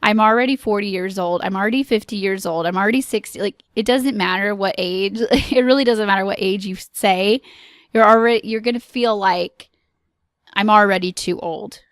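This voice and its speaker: A woman hosting a solo podcast, talking earnestly and encouragingly with pauses and frequent ums. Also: mid-range voice, smooth, no echo, clean studio sound. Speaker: podcast host.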